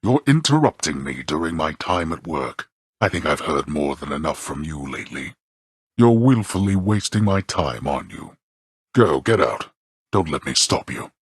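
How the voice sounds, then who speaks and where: An actor voicing a stern, contemptuous god of the dead in a video game, a haughty father with formal archaic speech and a gravelly voice. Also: a bass voice, very animated, moderate pace, dry recording, studio quality, full character voice. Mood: irritated contempt. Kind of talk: video game character voice acting.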